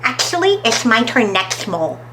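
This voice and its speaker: A woman's voice: fairly high pitch, very animated, normal speed, bright, forceful tone, a little hiss or hum. A woman voicing a friendly, impatient male hare in a children's story, with a casual, chirpy manner. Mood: matter-of-fact.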